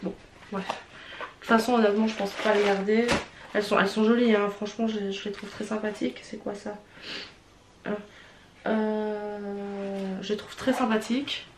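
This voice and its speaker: A woman's voice: medium pitch, flat delivery, moderate pace, pauses often. A young woman with a Swiss French accent, stuffy from a cold, chatting frankly and hesitantly as she unboxes and tries on clothes, with lots of fillers and restarts. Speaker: vlogger. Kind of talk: casual unboxing and try-on chat. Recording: roomy with faint background noise.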